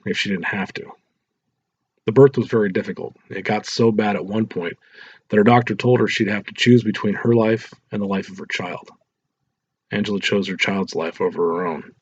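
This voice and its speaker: A man's voice: lowish voice, darkish tone, breathy edge, no room sound, dull audio. A man narrating a scripted story in an audiobook style.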